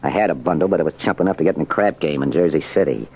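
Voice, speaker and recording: An actor playing a curt, impatient small-time American crook, talking terse slang in a 1940s radio crime drama. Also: male voice, a bass voice, expressive, rapid-fire, dark tone, husky, exaggerated voice. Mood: impatient, pushy. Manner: terse, slangy tough talk. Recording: muffled old radio recording.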